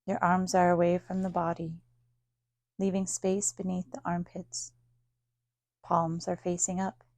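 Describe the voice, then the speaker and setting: A woman with a soft-edged, mellow voice and noticeable vocal fry leads a guided relaxation. She speaks calmly and soothingly, leaving long pauses between short instructions. The sound is close and dry, with no room around it. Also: on the low side, no echo. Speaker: yoga nidra teacher. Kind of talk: guided meditation.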